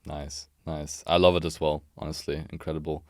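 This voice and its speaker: A man's voice: extremely low pitch, little pitch movement, normal speed, no room sound, studio quality. A man from Berlin, hosting a casual podcast interview, moving things along with upbeat prompts and short affirmations. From Berlin, Germany. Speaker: podcast host.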